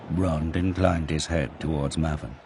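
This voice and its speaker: A man's voice: a bass voice, fairly even intonation, unhurried, background noise. A man giving dramatic scripted narration for an audio drama. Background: music.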